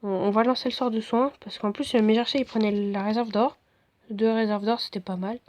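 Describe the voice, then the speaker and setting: A hoarse woman giving relaxed, rambling commentary over her own game replays, full of fillers and restarts. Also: on the high side, brisk, dry recording. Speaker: gaming video host. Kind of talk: casual gameplay commentary.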